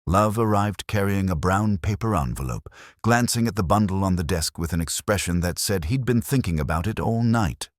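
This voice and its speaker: Very deep-voiced male mystery narrator. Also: expressive, normal speed, hardly any pauses, no room sound, clean studio sound. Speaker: narrator and reader. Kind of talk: scripted mystery audiobook narration.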